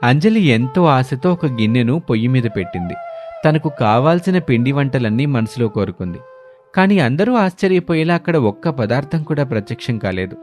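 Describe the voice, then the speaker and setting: A man tells a scripted moral folk tale in a mid-pitched voice, with music playing softly behind him. He delivers it as a storyteller narrating events in order. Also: animated, a little fast.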